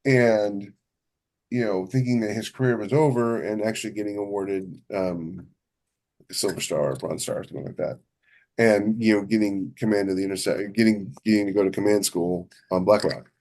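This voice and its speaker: Emphatic low-voiced man in casual conversation. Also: steady tone, normal speed, a little mellow. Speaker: podcast co-host and novelist. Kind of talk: casual chat about writing craft.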